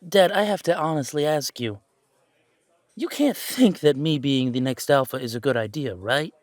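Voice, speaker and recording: A man's voice: on the high side, animated, normal speed, dry recording, lightly acted. A man playing a young adult werewolf son in an audio roleplay drama, sardonic and rebellious, with a wry, skeptical delivery.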